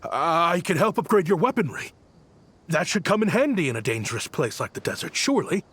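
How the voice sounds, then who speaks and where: A man's voice: fairly high pitch, very animated, a little fast, fairly bright tone, breathy edge, exaggerated voice. A man playing an earnest exiled researcher in a game, hesitant yet eager in the way he talks. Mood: hopeful. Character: earnest exiled male researcher obsessed with weapons. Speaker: voice actor in a game. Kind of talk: dramatic game voice acting.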